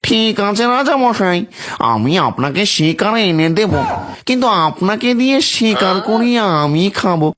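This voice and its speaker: A man's voice: high-pitched, very animated, moderate pace, a bit bright. A man voicing a sly, greedy fox with a wheedling manner in a children's cartoon. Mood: flattering, crafty. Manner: wheedling, crafty. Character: sly, greedy fox who feigns kindness. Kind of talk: children's fairy-tale cartoon acting. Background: music.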